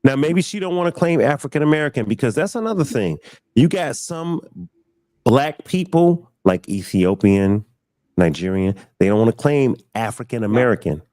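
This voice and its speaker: A man's voice: mid-range voice, lively intonation, moderate pace, dark tone, dry recording, studio quality. A man taking part in a casual panel discussion, talking in a direct, emphatic way.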